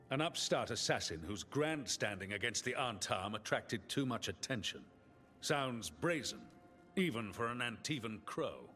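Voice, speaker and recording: Bright, forceful, pompous commander. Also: male voice, mid-range voice. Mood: cold, scornful. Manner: pompous, authoritative, deliberate. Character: stern, dismissive male military commander of a warrior order. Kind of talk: dramatic video game dialogue. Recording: long reverb, music behind.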